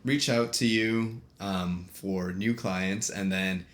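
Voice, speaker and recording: A man's voice: low voice, fairly even intonation, slow, a bit bright. A young man hosting a casual podcast, talking loosely with lots of fillers and restarts and laughing easily. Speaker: podcast host. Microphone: USB desk microphone.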